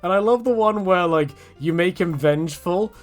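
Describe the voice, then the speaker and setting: A man's voice: sits high, moderate pace, faint music behind. A man giving a casual, rambling, jokey rant about games, full of slang and acted-out quotes. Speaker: video creator, game commentator.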